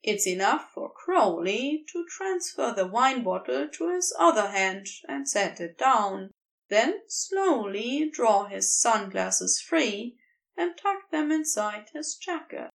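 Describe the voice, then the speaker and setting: A woman reading a story aloud as scripted narration, in a measured and expressive storytelling manner. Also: fairly high pitch, gravelly. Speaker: podfic reader.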